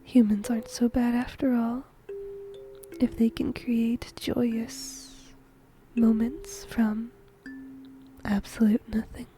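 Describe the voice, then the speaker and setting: Casting: woman playing a whimsical female shinigami for ASMR roleplay, slow breathy whisper, gentle and musing, music behind. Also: on the high side, little pitch movement. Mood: soft wonder. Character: whimsical female shinigami death god fond of humans. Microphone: close microphone.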